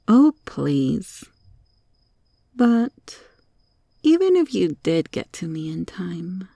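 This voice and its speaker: A woman voicing a sly, teasing monster cockroach girl in an ASMR roleplay, taunting and coaxing with deliberate, sometimes whispery delivery. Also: fairly low pitch, vocal fry, mellow, dry recording, studio quality, heavily acted. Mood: menacing, playful.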